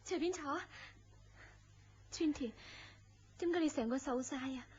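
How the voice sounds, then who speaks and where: A woman with a Hong Kong Cantonese accent playing a gentle, caring young sweetheart in a radio drama. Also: high voice, unhurried, noisy background. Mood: surprised, concerned. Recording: dull lo-fi radio drama audio.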